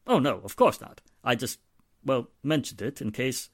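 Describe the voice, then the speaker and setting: An actor playing a middle-aged, polite and hesitant wealthy father with a measured manner in a 1940s-style radio drama. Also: medium pitch, animated, no room sound, studio quality. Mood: hesitant, insinuating.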